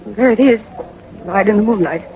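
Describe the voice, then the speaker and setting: A woman's voice: mid-range voice, normal speed, darkish tone, natural voice. An actress playing an earnest, polite young woman, an owner's daughter, in a 1940s radio drama. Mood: helpful, polite. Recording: muffled 1940s radio recording, faint noise.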